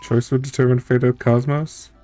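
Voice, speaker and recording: A man's voice: medium pitch, little pitch movement, moderate pace, mellow. A man streaming a story game, reading its text aloud and adding casual, musing reactions as he thinks it through. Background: game music.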